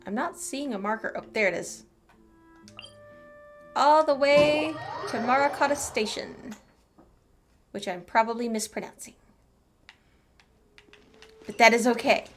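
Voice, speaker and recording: A woman's voice: fairly high pitch, takes it slowly, long pauses. A woman giving casual, chatty playthrough commentary on a story game, playfully talking back to it. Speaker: streamer playing a story game. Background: game music.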